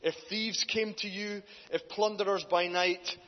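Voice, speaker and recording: Male guest preacher, loud and earnest, muffled sound in an echoing hall. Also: high-pitched, steady tone, a little slow. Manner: loud, earnest, builds in force when reading. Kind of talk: church talk and scripture reading. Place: church hall. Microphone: handheld mic. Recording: dull distant hall recording.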